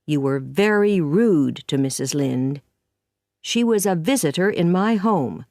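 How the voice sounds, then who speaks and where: A woman voicing a stern, practical older female guardian in an audiobook story, with a firm, measured delivery. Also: low-pitched, lively intonation, a little mellow, dry recording, clean studio sound.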